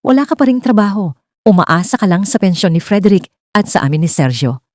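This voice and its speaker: A woman performs a bitter older mother-in-law from an audio romance drama. She nags and mocks with a highly animated, rising pitch. The sound is close and dry. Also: fairly quick, dry recording, close to an everyday voice. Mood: mocking, irritated. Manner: nagging, shouting, mocking. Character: sharp-tongued, bitter older mother-in-law, scolding and blaming.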